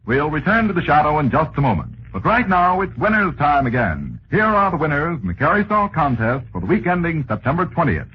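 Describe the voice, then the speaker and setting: Animated high-pitched American male radio announcer. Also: fairly quick, few pauses. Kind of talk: 1940s radio advertising announcement. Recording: muffled, hissy 1940s radio recording.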